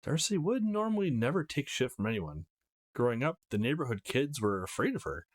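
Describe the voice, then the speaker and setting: Male let's play host, casual read-aloud narration, medium register, very animated intonation. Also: medium pitch, brisk. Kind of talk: casual let's play, reading visual novel text aloud.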